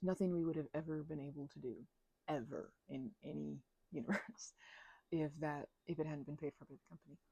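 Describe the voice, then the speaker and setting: A woman's voice: medium pitch, warm tone, breathy edge, no room sound, muffled recording. A young woman chatting casually in an ASMR ramble, mumbling and hesitating as she drifts along, close to the microphone. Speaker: ASMR channel creator.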